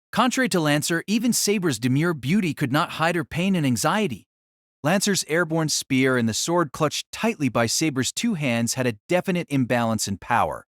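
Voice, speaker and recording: A man's voice: on the high side, dry recording, studio quality. Male narrator reading a fantasy drama. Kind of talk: scripted audiobook narration.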